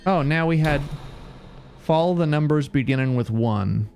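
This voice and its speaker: A man's voice: fairly high pitch, expressive, moderate pace, creaky, a little mellow, a little hiss or hum. A man streaming a video game, joking, rambling and talking back to the characters. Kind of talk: casual game commentary.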